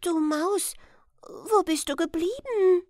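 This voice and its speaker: A woman's voice: very high-pitched, slow pace, a little mellow, no room sound, studio quality, voice pushed up. A woman voicing a small, timid orphan girl in a fairy tale, innocent and plaintive in her questions. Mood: bewildered.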